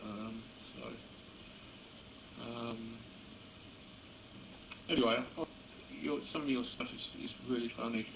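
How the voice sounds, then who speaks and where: Male radio hobbyist, halting casual delivery, gravelly fry, clearly noisy camera audio. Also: medium pitch. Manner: hesitant, halting, chuckling. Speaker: amateur radio operator. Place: indoors beside a computer.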